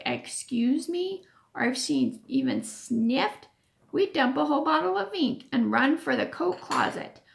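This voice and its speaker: Lady reading a kids book out loud, high voice, takes her time. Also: gravelly. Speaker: teacher reading aloud. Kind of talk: expressive children's story read-aloud.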